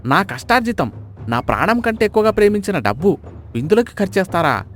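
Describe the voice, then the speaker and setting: A man's voice: high-pitched, sing-song, a little fast, fairly bright tone, soft background music, exaggerated voice. A man voicing an indignant, easily frightened village miser in a dramatised storybook tale.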